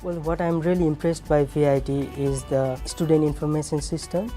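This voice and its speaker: A man's voice: a little high, steady tone, normal speed, mellow. A young man with a Nepali accent, a recent graduate giving a promotional testimonial. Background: background music.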